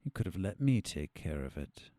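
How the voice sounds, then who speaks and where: A man playing a weary, calculating captive doctor in an audio drama, speaking in a measured, formal way. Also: low voice, very animated, dark tone, no room sound, studio quality. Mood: dry, composed.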